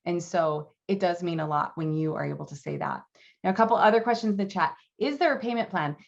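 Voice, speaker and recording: A woman's voice: fairly low pitch, a little fast, lo-fi sound. A woman in her thirties hosting a live online Q and A, upbeat and encouraging, with plenty of ums and likes. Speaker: language program host. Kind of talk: live webinar Q and A.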